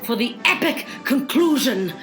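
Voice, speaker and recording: A woman's voice: on the high side, animated, a little slow, fairly bright tone, soft background music. A woman announcer introducing a radio play in a melodramatic, self-important way, stretching words for emphasis. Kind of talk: theatrical scripted narration.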